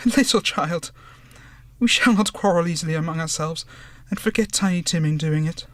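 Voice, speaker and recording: An actor playing a gentle, humble father in mourning in a radio play, tender and sorrowful. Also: on the high side, normal speed, natural voice. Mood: tender grief. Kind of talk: theatrical radio drama acting.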